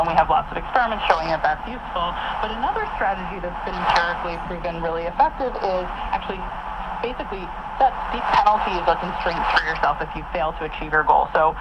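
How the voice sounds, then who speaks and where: Noisy dull radio sound, woman researcher explaining stuff like a professor in an interview. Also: on the low side. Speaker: researcher and teacher, interview guest. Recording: noisy, muffled radio reception, re-recorded from speaker.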